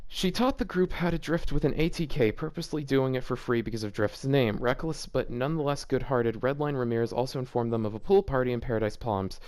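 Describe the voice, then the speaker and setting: A man's voice: mid-range voice, quick pace, few pauses, a little mellow. A man hosting a casual read-aloud of fan fiction, breaking off for exasperated, joking commentary. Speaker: host reading fan fiction aloud with reactions.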